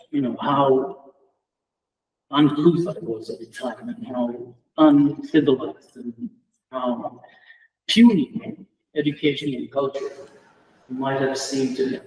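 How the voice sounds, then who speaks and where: A middle-aged man from Romania with a Romanian accent, a native Romanian speaker giving a reflective memorial tribute as an English department lecturer, measured and halting with restarts. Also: mid-range voice, a little mellow, far from the mic, low-quality recording. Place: lecture room at a memorial round table.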